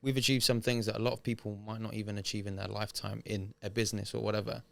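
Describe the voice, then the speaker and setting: A man's voice: lowish voice, flat delivery, fast talker, creaky, a bit bright. A man co-hosting a podcast, talking earnestly and encouragingly in casual chat. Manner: earnest, motivational. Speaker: podcast co-host.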